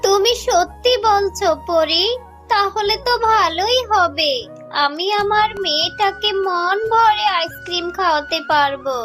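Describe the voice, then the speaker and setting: Mother fox, voiced by an actor, squeakily high, sharp, earnest, plaintive, kindly, cartoon, music behind. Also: measured pace, exaggerated voice. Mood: hopeful. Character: poor, honest, tender mother fox. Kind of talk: children's cartoon dubbing. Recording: faint music under the voice.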